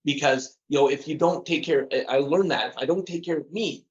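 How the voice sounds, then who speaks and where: A man's voice: on the high side, brisk, muffled recording. A middle-aged man speaking earnestly as a podcast guest, often repeating words and laughing at himself. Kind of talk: casual interview chat.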